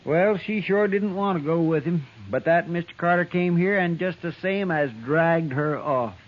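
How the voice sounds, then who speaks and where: An actor playing an earnest, slightly fretful young deputy in an old radio western. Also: male voice, on the high side, moderate pace, lightly acted. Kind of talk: 1950s radio drama acting. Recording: muffled old radio recording.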